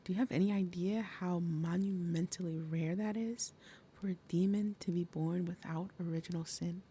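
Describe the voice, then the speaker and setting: A woman playing a fierce, protective demon mother and princess of wrath in an ASMR roleplay, with dramatic, breathy, menacing delivery. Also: fairly low pitch, fairly even intonation, normal speed, dark tone, slight background noise, close to an everyday voice. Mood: wistful, pained.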